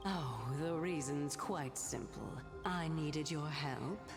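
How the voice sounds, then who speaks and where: A woman voicing a calm, gracious ancient goddess in human disguise, speaking softly in a breathy half-whisper. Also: low voice, slow pace, in a large hall. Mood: calm, knowing. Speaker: game character. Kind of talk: video game voice acting. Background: game music.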